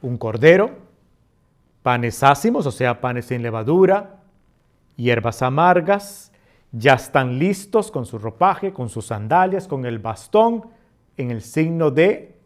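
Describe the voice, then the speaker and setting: A man's voice: medium pitch, lively intonation. A middle-aged man from Costa Rica with a Costa Rican Spanish accent, reading scripture aloud and then explaining it as a teacher, with his voice swelling on key points. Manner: reads passages slowly, then explains with swelling emphasis.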